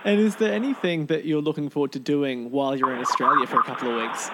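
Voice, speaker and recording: A man's voice: fairly high pitch, quick pace, noisy background. A man hosting an interview podcast, putting prepared questions to his guest.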